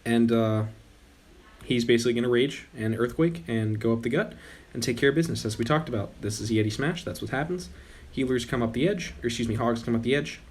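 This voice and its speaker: A man's voice: medium pitch, a little fast, a little mellow, breathy edge. Man, young adult, losing his voice, casual gaming commentary, rambling, self-correcting. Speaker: gaming channel host.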